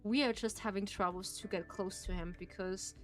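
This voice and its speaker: A woman's voice: mid-range voice, fairly even intonation, normal speed, faint music behind. A woman with a German accent, a native German speaker, streaming and reading a game aloud in careful phrasing with frequent laughs.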